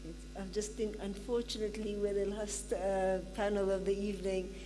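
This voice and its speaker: Brisk, polite woman moderating a panel. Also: medium pitch, level tone. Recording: reverberant conference hall with clear hum.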